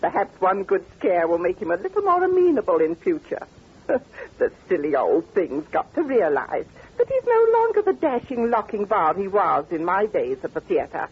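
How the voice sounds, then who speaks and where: A woman's voice: medium pitch, very animated, normal speed, slightly rough. A woman playing a shrewd, mockingly amused British duchess and former music-hall star in an old-time radio drama, imperious and theatrical. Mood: brisk, amused. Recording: dull, lo-fi old radio recording.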